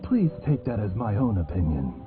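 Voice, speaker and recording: Devoted raven retainer, deferential, formal diction, game character, tinny band-limited sound. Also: male voice, fairly low pitch, big pitch swings, normal speed. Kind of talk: video game character acting. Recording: tinny game capture with music.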